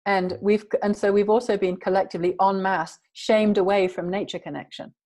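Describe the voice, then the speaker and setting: A woman's voice: medium pitch, steady tone, a little fast, darkish tone. A woman guest in an interview, talking earnestly and emphatically in long flowing sentences. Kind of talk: passionate conversational interview.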